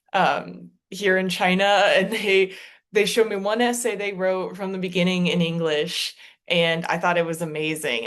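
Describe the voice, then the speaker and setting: A woman guest presenter answering questions on a video call, thoughtful and hedging as she gives advice. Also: lowish voice. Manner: thoughtful, hedging, laughs easily.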